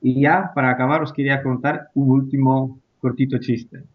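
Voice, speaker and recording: A man's voice: mid-range voice, flat delivery, moderate pace. A man taking part as a guest in a casual discussion, hesitant, with many fillers and restarts. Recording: muffled lo-fi audio.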